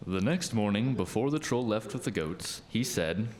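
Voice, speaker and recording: A man narrating a scripted radio play tale as an expressive, dramatic storyteller. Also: lowish voice, moderate pace, slight background noise.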